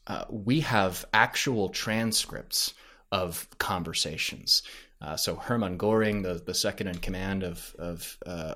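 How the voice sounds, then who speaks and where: A man giving casual explanations as a podcast guest, haltingly thinking aloud as he goes. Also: low-pitched, pushed and bright. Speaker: podcast creator, interview guest. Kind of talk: casual explanatory interview talk.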